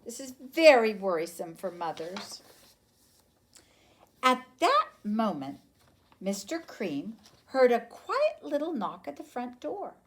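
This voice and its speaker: A woman reading a picture book aloud to young children like a teacher, expressive and emphatic. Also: fairly high pitch, takes it slowly. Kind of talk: children's storybook narration.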